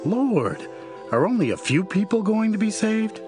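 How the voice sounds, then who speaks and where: A man's voice: sits high, sing-song, unhurried, music in the background. An actor playing an anxious man earnestly questioning a holy teacher in a Bible audio drama.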